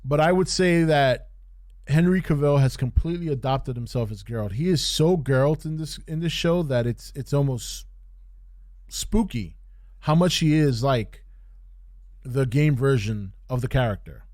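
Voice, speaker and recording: A man with a medium-pitched voice talks as a podcast host giving an off-the-cuff review. He speaks haltingly and repeats phrases to stress his point. The sound is dry, with very little room echo. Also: lively intonation, a little mellow. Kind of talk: casual unscripted review commentary.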